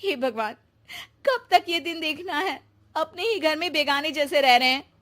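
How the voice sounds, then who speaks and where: A woman as an exasperated, high-voiced young wife. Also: normal speed, a bit bright. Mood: exasperated, tearful. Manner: plaintive, complaining. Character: exasperated, put-upon newlywed young working wife. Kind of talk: animated cartoon story dialogue.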